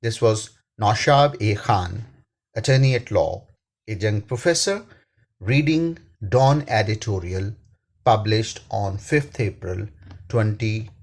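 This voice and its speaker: Male reader, Pakistani accent. Measured and formal editorial read, husky tone, lively rise and fall. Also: lowish voice. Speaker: reader, attorney and law professor. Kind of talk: scripted read-aloud of a newspaper editorial.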